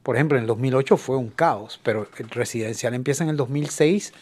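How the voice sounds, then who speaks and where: Middle aged guy from new york, cuban parents, guest on radio, lots of ums, mid pitch. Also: fairly quick, darkish tone. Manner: hesitant, lots of fillers. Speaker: guest, industrial real estate expert. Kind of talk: radio interview.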